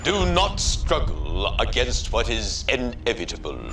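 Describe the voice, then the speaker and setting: Menacing imperial commander, slow solemn proclamations with sweeping pitch, pompous, game cinematic. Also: male voice, wildly swooping pitch. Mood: cold menace. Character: imperious, merciless male commander of an invading empire. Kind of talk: dramatic video game cutscene speech. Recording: music behind the voice.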